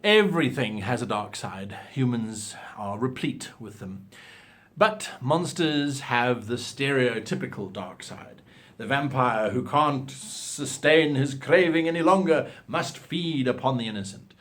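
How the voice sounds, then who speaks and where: A man hosting an advice show, explaining things to viewers in an animated, theatrical and emphatic way. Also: mid-range voice, measured pace. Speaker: host of a role-playing advice show. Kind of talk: instructional talk to viewers with acted example lines.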